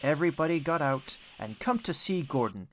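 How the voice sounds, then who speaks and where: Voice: mid-range voice, animated, fairly quick, soft background music. A storyteller reading a children's audiobook in a scripted narration style.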